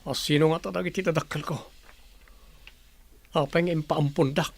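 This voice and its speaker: A man's voice: fairly high pitch, fairly even intonation, moderate pace, gravelly. A man playing a hurt, brooding adopted grandson in a radio drama. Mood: brooding, sad. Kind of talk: Ilocano radio drama acting.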